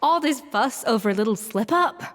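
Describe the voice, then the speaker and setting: A woman's voice: fairly high pitch, expressive, normal speed, fairly bright tone, slight background noise. A woman playing a blunt, imperious young pastry chef in a game, with a theatrical no-nonsense manner. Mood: firm, forgiving. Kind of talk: English game voice acting. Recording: long reverb over background music.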